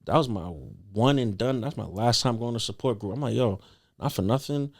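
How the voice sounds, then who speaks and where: A man's voice: medium pitch, brisk, darkish tone. A young man telling a personal story as a podcast guest in a casual, slangy, earnest conversational way.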